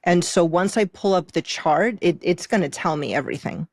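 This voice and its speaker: Low-pitched woman explaining things in conversation. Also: moderate pace, dry recording, studio quality. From Moldova, moved to US at eight. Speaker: podcast guest, intuitive soul contract reader.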